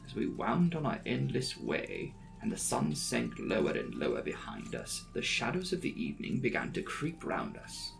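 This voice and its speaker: A man reads a classic gothic novel as a theatrical storyteller. His mid-pitched voice swings very widely in melody and often drops into a creaky, gravelly fry. Music plays softly behind the narration. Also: poor audio. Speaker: audiobook narrator. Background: background music.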